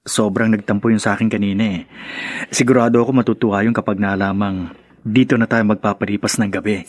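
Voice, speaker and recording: Coaxing young Filipino husband played by an actor, dry sound. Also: male voice, on the low side, steady tone, fast talker, dry recording, lightly acted. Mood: coaxing, sheepish. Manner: hesitant, placating. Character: coaxing young husband torn between mother and partner. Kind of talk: Filipino radio drama acting.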